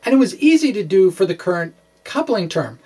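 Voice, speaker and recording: Halting middle-aged man explaining physics. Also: high-pitched, sing-song, mellow, breathy edge. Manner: halting, hesitant, self-correcting. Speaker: physics blogger and vlogger. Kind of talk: blog read aloud with rambling asides. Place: small indoor room with bookshelves.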